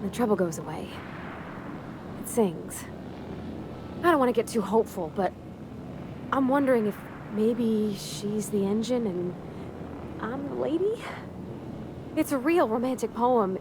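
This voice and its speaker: An actress playing a sweet, shy young ship mechanic in a video game, speaking with a rural Southern American accent in a folksy, hesitant, earnest way. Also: female voice, on the high side, animated, unhurried, long pauses, background noise. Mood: nervous, smitten. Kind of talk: video game character acting.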